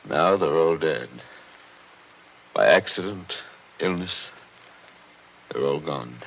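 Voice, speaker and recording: An actor playing a grieving older gentleman, formal and measured, in 1940s radio drama style. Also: a bass voice, animated, gravelly, a little hiss or hum, heavily acted. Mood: wistful. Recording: dull vintage 1940s radio recording.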